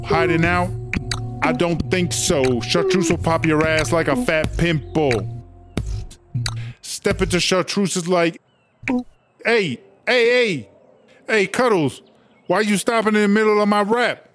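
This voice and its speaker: A man's voice: fairly high pitch, measured pace, lightly acted. A man playing a boastful, slangy female inmate who raps, with swaggering, profane talk, in a comedy audio drama. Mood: combative. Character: brash, boastful female prison inmate and rapper. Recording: music behind the voice.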